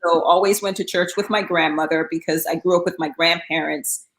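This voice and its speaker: Middle-aged woman from guyana, bit low pitched, chatty w lots of ums, roomy echo. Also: steady tone, brisk, a bit bright. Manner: chatty, with many um fillers and restarts. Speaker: guest. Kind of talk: casual interview talk.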